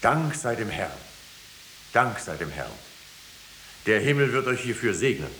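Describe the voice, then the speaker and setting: An actor playing a stern, solemn judge who presses insistently for a confession, in 1950s German radio drama style. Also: male voice, medium pitch, very animated, measured pace, fairly bright tone. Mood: commanding. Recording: hissy, echoey 1950s radio recording.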